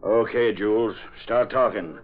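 An actor playing a gruff, bossy police sergeant, authoritative and slangy, in an old radio crime drama. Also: male voice, on the low side, a little slow. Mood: bossy, impatient. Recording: tinny vintage radio recording.

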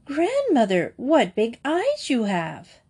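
A woman's voice: mid-range voice, animated, measured pace, darkish tone, breathy edge. A woman voicing an innocent, trusting little girl in a storybook, sweet and earnest. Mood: wondering. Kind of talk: storybook character voice.

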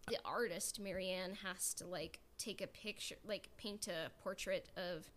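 A woman's voice: on the low side, fairly even intonation, a little slow. Podcast host lady just chatting, rambly, lots of likes and you knows, some noise in the background. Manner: rambling, full of "like" and "you know". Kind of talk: casual chat.

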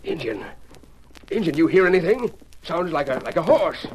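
An actor playing a folksy, emotional ranch hand with a drawling American Western accent in a radio drama. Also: male voice, fairly high pitch, sing-song, normal speed. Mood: mournful. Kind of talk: 1940s-50s Western radio acting. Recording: noisy vintage radio recording.

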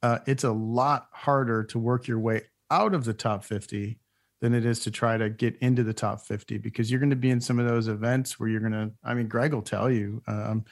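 A man hosting a golf panel, asking questions and thinking aloud in a relaxed way. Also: mid-range voice, a little fast. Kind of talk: casual panel discussion.

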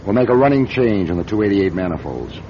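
Through noticeable noise on a muffled radio drama recording comes a cornered American company boss. His voice sits on the low side, menacing and full of self-justification, a ruthless executive defending himself. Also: very animated, fast talker. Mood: defensive, insistent. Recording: dull, noisy old radio drama recording.

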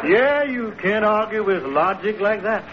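An actor playing a skeptical, put-upon waiter who wisecracks dryly in an old-time radio comedy. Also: male voice, sits high, measured pace, a loud crowd. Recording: muffled, noisy vintage radio recording, studio audience.

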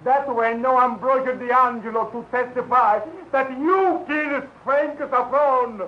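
A man's voice: extremely high pitch, a little slow. An actor playing an elderly immigrant father, speaking earnest broken English in a 1940s radio drama. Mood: accusing. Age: older. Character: elderly Italian immigrant father, gentle, brave. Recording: dull, hissy old radio recording.

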